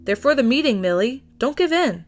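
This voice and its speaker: A woman voicing a tiny, grouchy loudmouth woman in an audiobook, bossy and exasperated but fond. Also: high voice, very animated, fairly quick, voice pushed up. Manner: huffy, bossy, complaining.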